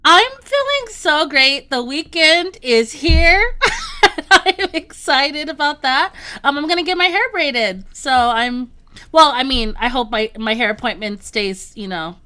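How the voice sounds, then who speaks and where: Upbeat american lady co-hosting, real high voice, very bright, chatty and expressive. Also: a little slow. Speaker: talk show co-host. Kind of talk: casual chat.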